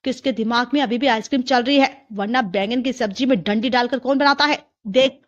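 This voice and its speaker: A woman's voice: fairly high pitch, brisk, lo-fi sound, natural voice. A woman voicing a scolding, suspicious older mother-in-law in a story, with nagging, emphatic questions.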